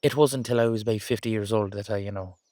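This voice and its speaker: A man's voice: lowish voice, steady tone, fast talker, a little mellow, no echo, close to an everyday voice. Man acting playful Irish Dullahan boyfriend, close dry sound. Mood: bashful, explaining. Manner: rambling, hesitant, teasing. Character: playful, affectionate young-looking male Dullahan boyfriend. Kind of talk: boyfriend roleplay audio, conversational acting.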